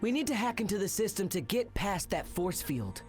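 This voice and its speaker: A man's voice: high-pitched, big pitch swings, normal speed. A man voicing a wisecracking young male space captain in a video game, breezy and joking. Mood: cocky. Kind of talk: video game cutscene acting. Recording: game cutscene with loud music behind.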